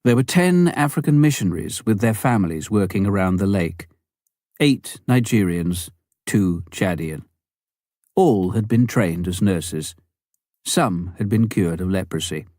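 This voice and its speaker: A man narrating a written memoir as an audiobook reader, in a measured, deliberate way. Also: fairly low pitch, darkish tone, no echo, clean studio sound.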